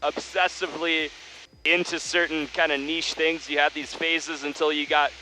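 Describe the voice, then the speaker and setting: A man's voice: on the high side, level tone, normal speed, sharp tone, faint music behind, low-quality recording. A man hosting a casual, playful interview, speaking on a headset in a small plane. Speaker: interview host. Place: small plane cabin in flight.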